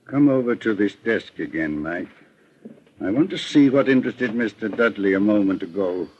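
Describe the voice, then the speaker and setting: An actor with an American accent playing a shrewd, calm, authoritative middle-aged private detective in an old-time radio drama, speaking deliberately. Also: male voice, on the low side, slight background noise, natural voice. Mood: measured, assured. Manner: measured, deliberate, composed. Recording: muffled vintage American radio recording.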